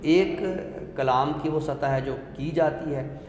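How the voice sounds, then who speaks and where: A man's voice: on the high side, steady tone, crowd noise. Man explaining literature in an echoey hall. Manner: deliberate, didactic, repeats points for clarity. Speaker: literary expert guest on a discussion show. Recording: echoey hall-like room with noise.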